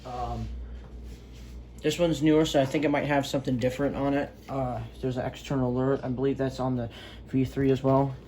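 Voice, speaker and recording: A man's voice: mid-range voice, flat delivery, fairly quick, darkish tone. Male gadget reviewer, casual and rambling, unscripted, with some background noise on a homemade recording.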